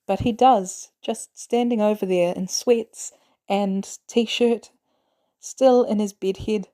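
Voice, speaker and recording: A woman reading scripted fiction as an audiobook narrator, with expressive delivery. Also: medium pitch, takes it slowly.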